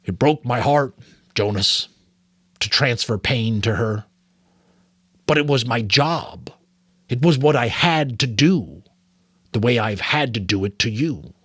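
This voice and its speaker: A man's voice: medium pitch, lively intonation, slow pace, long pauses, a bit bright, husky, no room sound, studio quality, full character voice. A man voicing a sorrowful, weary old mentor in a grave, faltering, earnest way, as in a storybook. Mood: anguished, guilty. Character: sorrowful, weary old male mentor confessing painful memories.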